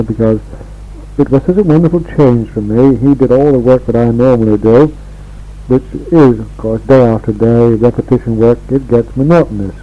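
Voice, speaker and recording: An English man in his sixties rambling in a homely way as he records a casual spoken letter to family on an old home tape. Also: mid-range voice. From England. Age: 60s. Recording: dull 1960s home reel-to-reel tape.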